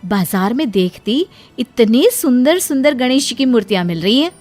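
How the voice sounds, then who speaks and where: A woman voicing an expressive, tender-hearted, easily flustered young daughter-in-law in a cartoon moral story. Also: fairly high pitch, normal speed, soft background music, voice pushed up. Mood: reluctant.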